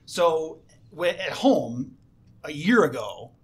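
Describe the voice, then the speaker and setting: A man's voice: on the high side, very animated. A man hosting a school radio interview show, talking casually with plenty of fillers and restarts. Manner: halting, many fillers and restarts. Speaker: host, assistant principal.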